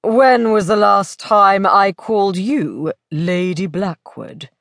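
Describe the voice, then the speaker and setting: A woman voicing a wry, urbane gentleman lord in an audiobook, droll and deliberate in manner. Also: medium pitch, vocal fry, no room sound, studio quality. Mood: wry disbelief.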